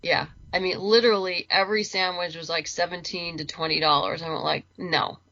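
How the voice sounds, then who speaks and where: A woman's voice: lowish voice, steady tone, fairly quick, sharp tone, dry recording, lo-fi sound. A woman chatting casually as a podcast guest, talking in a rambling, conversational way and repeating words for emphasis. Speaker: guest, comics and audio drama creator.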